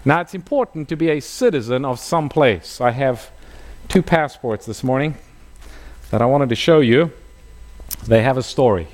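Lively preaching man from South Africa. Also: mid-range voice, normal speed, long pauses. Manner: storytelling preacher, asks the audience questions. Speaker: pastor. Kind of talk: sermon.

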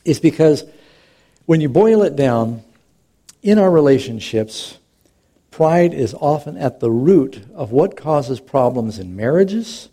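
A man's voice: a little high, very animated, slow, mellow. A middle-aged man, a pastor, preaching a sermon in a conversational, storytelling way with touches of humor.

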